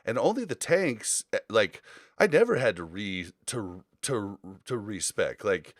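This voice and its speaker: A man's voice: on the low side, very animated, a little slow, no echo, studio quality. A man chatting casually on a gaming podcast, giving opinions in a rambling, storytelling way. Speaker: podcast host.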